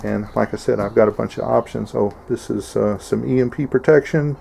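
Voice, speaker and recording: A man's voice: medium pitch, fairly quick, gravelly, mellow, a little hiss or hum. A man giving a casual, plainspoken instructional vlog in a folksy way, like a host showing viewers his homemade setup. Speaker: host of homesteading video channel.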